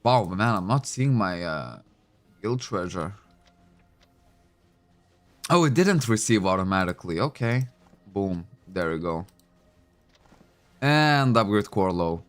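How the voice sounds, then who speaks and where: Chatty man, Lithuanian native, lowish voice. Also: expressive, slow pace, long pauses, faint music behind. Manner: chatty, thinking aloud with many fillers. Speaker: game streamer. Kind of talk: casual livestream commentary. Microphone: desk microphone.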